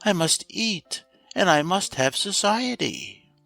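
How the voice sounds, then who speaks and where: A fretful female hen from a storybook fairy tale, acted out by a man, speaks in a lifted, high register. The delivery is plaintive and dramatic, full of self-pity, with a roomy echo around it. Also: lively intonation, slow, gravelly, sharp tone, soft background music, full character voice. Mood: fed up. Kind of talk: storybook character voice.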